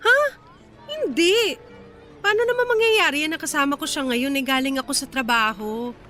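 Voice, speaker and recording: A woman's voice: sits high, normal speed, pushed and bright, faint music behind. A woman with a Filipino accent playing an anguished, short-tempered young aunt in a radio drama. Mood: everyday, concerned.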